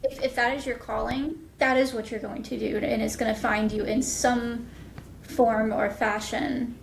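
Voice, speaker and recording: A woman's voice: fairly even intonation, normal speed, vocal fry, poor audio. A woman speaking as a guest in casual podcast chat. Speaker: podcast guest, audio drama creator. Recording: faint background noise and rumble.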